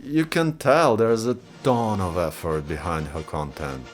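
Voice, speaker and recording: A man's voice: low-pitched, measured pace, over music. A man with a Russian accent doing chatty, self-mocking vlog commentary, talking straight to his viewers. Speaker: YouTube creator.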